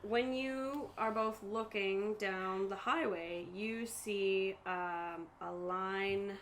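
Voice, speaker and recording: A woman's voice: medium pitch, fairly even intonation, very slow, slight background noise. A woman narrating a tabletop game casually as game master, hesitant and thinking aloud as she goes.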